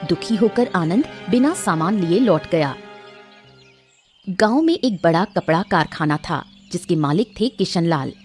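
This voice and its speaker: A woman's voice: fairly low pitch, expressive, measured pace, darkish tone, soft background music. A woman narrating a scripted moral cartoon story.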